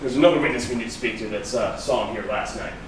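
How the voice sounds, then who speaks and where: An actor in a staged crime drama reading, playing a measured police detective with a polite, procedural manner. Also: male voice, medium pitch, close to an everyday voice. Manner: courteous, procedural. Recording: very echoey, distant, noisy stage recording.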